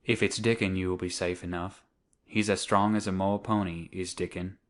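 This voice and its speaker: A man playing a nervous, cautious middle-aged doctor in an audiobook dramatic reading, speaking in a measured, formal way. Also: sits low, flat delivery, lightly acted. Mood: relieved, indulgent.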